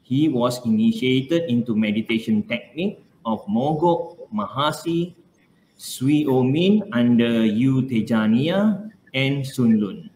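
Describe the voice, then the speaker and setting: A middle-aged man hosting a live stream, reading a formal introduction of the guest speaker, careful and halting. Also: mid-range voice, expressive, a little mellow. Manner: slow, careful, with pauses and small slips. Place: room indoors. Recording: echoey room with faint noise.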